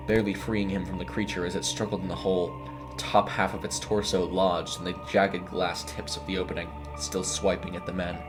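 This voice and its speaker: A man reading a scripted horror story as narrator, in a dramatic storytelling style. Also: deep voice. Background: music.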